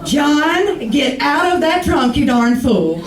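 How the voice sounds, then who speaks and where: High swooping voice, scolding romantic wife, old radio comedy, roomy and distant. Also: female voice, sing-song, takes it slowly, a crowd in the background, heavily acted. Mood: exasperated. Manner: exasperated, chattering. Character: romantic, nagging wife, easily wounded, scolding.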